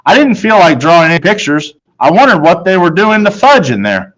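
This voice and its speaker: A man's voice: high-pitched, very animated, fairly quick, fairly bright tone, low-quality recording. A man narrating a children's novel aloud in the first person, as a storybook narrator.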